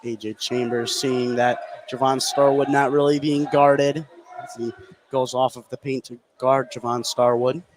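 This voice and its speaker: A man's voice: mid-range voice, level tone, a little slow. A man doing live play-by-play basketball commentary in a gym, his delivery swelling as the action heats up. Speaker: play-by-play announcer. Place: indoor high school basketball gym. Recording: clearly noisy gym ambience. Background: gym noise.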